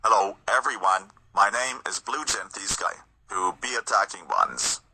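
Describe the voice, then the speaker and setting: A man's voice: on the low side, normal speed, pushed and bright. A man speaking scripted amateur scenario lines on a noisy recording. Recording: clearly noisy, with clicks.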